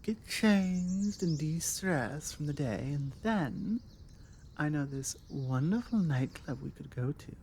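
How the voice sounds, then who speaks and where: A woman with a British accent playing a forward, confident female vampire in an intimate ASMR roleplay, teasing and coaxing with deliberate pauses. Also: low voice, a little hiss or hum, full character voice. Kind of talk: ASMR roleplay, intimate one-sided conversation. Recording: steady outdoor ambience with birdsong. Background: birdsong and outdoor ambience.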